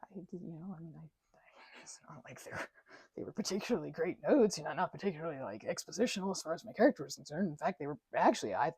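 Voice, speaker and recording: An actress playing a shy, grateful female vampire in an intimate audio roleplay, stammering and halting, often sinking into a nervous whisper. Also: low voice, expressive, darkish tone, breathy edge, no echo, heavily acted. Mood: embarrassed, flustered.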